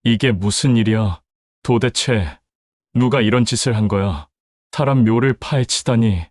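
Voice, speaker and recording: A man's voice: mid-range voice, measured pace, a little mellow, dry recording, clean studio sound, natural voice. A man playing a shaken middle-aged father. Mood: shocked. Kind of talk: audio drama acting.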